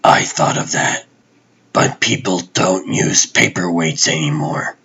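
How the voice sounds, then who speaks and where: A cool, unhinged comic-book vigilante, played by a man, speaking low and husky in clipped deadpan. He sounds terse and gruff, taking his time over short lines. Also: slow pace, highs cut off, heavily acted.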